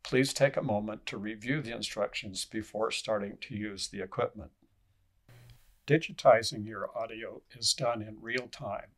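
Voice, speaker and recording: A man reading scripted instructional narration in a measured, formal way, as an instructor would. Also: fairly low pitch.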